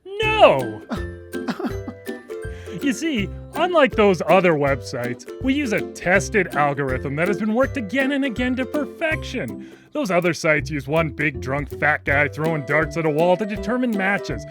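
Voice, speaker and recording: A man playing a brazen, gleefully dishonest dating-site pitchman in a parody radio commercial, with a theatrical sales delivery. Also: very animated, unhurried, over music.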